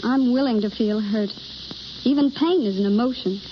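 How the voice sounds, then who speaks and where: A woman's voice: fairly high pitch, normal speed, close to an everyday voice. An actress playing a shy, lonely young woman yearning for romance in a 1930s radio drama, hesitant, earnest and pleading. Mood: yearning, wistful. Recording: muffled, hissy 1930s radio recording.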